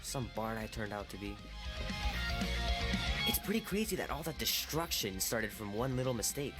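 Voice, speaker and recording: A man's voice: lowish voice, sing-song, normal speed, loud music behind the voice. A man playing a nerdy, easygoing thirteen-year-old boy in a video game, talking casually and naturally. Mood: musing.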